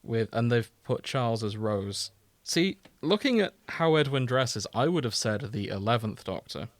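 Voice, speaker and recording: A man co-hosting a podcast in an informal, bantering style, happy to give his opinions. His voice sits at an average pitch, recorded dry with very little room sound. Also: expressive, moderate pace, no echo. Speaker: podcast co-host. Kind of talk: casual podcast chat.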